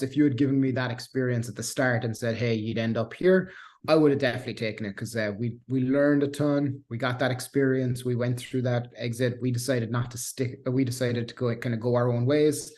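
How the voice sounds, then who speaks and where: This is a man from Cork speaking with an Irish accent, relaxed and conversational as an interview guest. He circles back on his words, fills gaps with "uh" and "you know," and tends to laugh at himself. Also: medium pitch. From Ireland. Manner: rambling, many restarts and fillers, self-deprecating laughs. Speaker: guest, software engineer. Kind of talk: casual interview chat.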